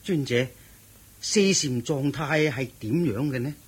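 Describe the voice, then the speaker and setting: An actor playing an eager, admiring young lay disciple, deferential and naive, speaking Hong Kong Cantonese in a radio drama. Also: male voice, medium pitch, a little slow, slightly rough. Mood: curious, respectful.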